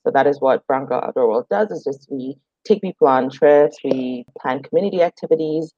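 A woman's voice: a bass voice, brisk, no room sound. Chatty woman from Jamaica, dry sound. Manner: relaxed, chatty, frequent fillers. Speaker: guest, outdoor group founder. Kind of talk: casual conversational interview answers. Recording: dry, slightly dull remote audio.

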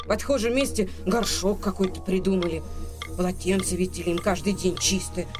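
An actor plays a skinny, sullen servant boy in a radio play. He grumbles in plain rustic speech, pious and stubborn, over a clear bed of music. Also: mid-range voice, steady tone, normal speed, close to an everyday voice. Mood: grumbling. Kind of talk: radio drama acting. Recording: music behind the voice.

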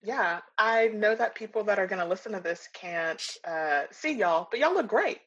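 A woman's voice: medium pitch, lively intonation, normal speed, a bit bright, husky. A woman hosting a podcast, chatting in a friendly, easy way. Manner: friendly, chatty, uses y'all. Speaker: podcast host. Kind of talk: casual interview chat. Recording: dull lo-fi sound.

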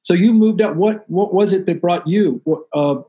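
Middle-aged guy hosting a comedy interview, kinda high voice, rambly and friendly. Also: moderate pace, warm tone, breathy edge, muffled recording. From Northern Virginia, USA. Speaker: podcast host and stand-up comedian. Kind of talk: casual interview chat.

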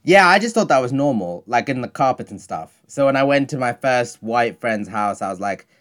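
A man's voice: medium pitch, animated, normal speed, fairly bright tone. A man from Zimbabwe co-hosting a podcast over a video call from home, laid-back and self-mocking. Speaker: podcast co-host.

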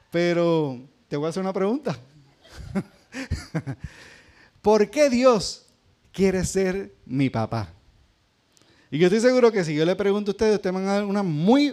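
A middle-aged Puerto Rican pastor preaches in a high, light voice. He keeps it conversational and keeps putting questions to his congregation. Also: a little mellow. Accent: Puerto Rican Spanish. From Puerto Rico. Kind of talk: sermon.

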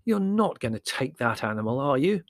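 A man's voice: mid-range voice, big pitch swings, brisk, creaky, no echo, clean studio sound. A man voicing an exasperated, sarcastic and argumentative young man in a storybook, indignant and emphatic.

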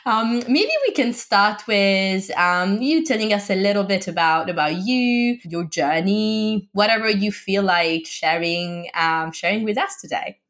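A woman's voice: medium pitch, unhurried, few pauses, fairly bright tone. A woman hosting a podcast, reading a short scripted intro and then interviewing her guest in an encouraging way. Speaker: podcast host.

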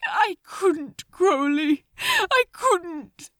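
A woman voicing a gentle, selfless male angel in an audiobook, distraught, tender and imploring. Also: very high voice, sing-song, drawn-out words, dry recording, clean studio sound, voice pushed up, full character voice. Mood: distraught pleading. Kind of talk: dramatic fan fiction audiobook dialogue.

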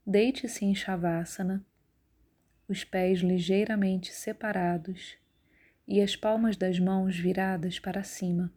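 A woman leading a guided yoga nidra relaxation in a calm, measured, instructive way. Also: medium pitch. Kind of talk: guided relaxation meditation narration.

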